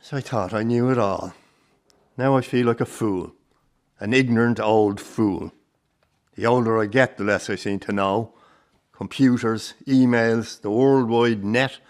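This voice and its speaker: A man's voice: lowish voice, steady tone, unhurried. An actor playing an older Irish circus grandfather in a radio drama, plain-spoken and rueful, with a salty turn and a fond heart. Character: warm, disillusioned old Irish circus grandfather. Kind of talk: naturalistic radio drama acting.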